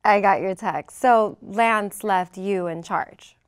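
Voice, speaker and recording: A woman acts out a poised, flirty businesswoman at a script table read. Her voice sits at a medium pitch, and her delivery is coy and teasing. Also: slow, clean tone, close to an everyday voice. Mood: teasing, businesslike. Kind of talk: screenplay table-read acting.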